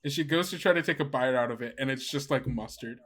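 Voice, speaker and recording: A man acting as game master, narrating an improvised tabletop game in a halting, conversational way. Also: mid-range voice, a crowd in the background.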